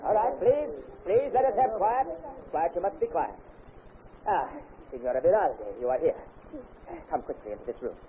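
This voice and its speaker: A man voicing a puzzled village doctor and coroner who speaks in a measured, authoritative way. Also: mid-range voice, expressive, natural voice. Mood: grave. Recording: tinny old radio broadcast, faint hiss.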